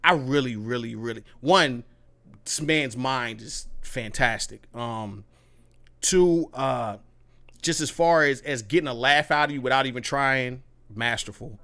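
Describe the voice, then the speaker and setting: A man hosting a casual gaming podcast, talking loosely in slang with plenty of swearing and shout-outs to his chat. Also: medium pitch, unhurried. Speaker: podcast host.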